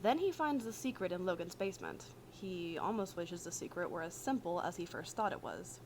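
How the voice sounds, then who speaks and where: A woman reading fan fiction aloud as a podfic narrator, in an expressive, casual way. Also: on the low side, a little fast, slight background noise. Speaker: podfic reader.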